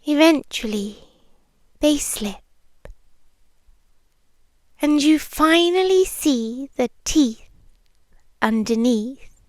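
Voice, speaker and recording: Casting: woman as obsessive yandere monster girlfriend, British, high-pitched, unhurried, intimate ASMR delivery sinking into whispers. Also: expressive, no room sound, full character voice. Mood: menacing, hushed. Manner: hushed, deliberate, dramatic pauses, slipping into whispers. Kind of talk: ASMR roleplay, intimate and slow.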